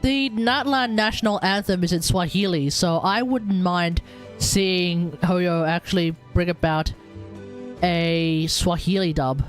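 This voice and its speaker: A woman streaming a game with casual, rambling chat, often hesitating and repeating words as she goes. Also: lowish voice. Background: game music.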